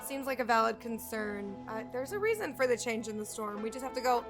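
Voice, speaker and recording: A woman's voice: on the high side, lively intonation, brisk. A woman doing casual, chatty live commentary as she streams a video game, reacting informally to the story. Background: game music.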